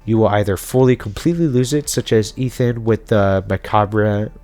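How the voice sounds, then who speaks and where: A man's voice: fairly low pitch, normal speed, darkish tone. A man giving casual explainer commentary as a video host, talking through theories in an informal, speculative way. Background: background music.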